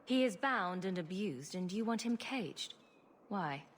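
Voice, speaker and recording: A woman's voice: medium pitch, animated, measured pace, slight background noise, lightly acted. An actress playing a self-assured, defiant woman mage in a fantasy video game, speaking in a firm, challenging way.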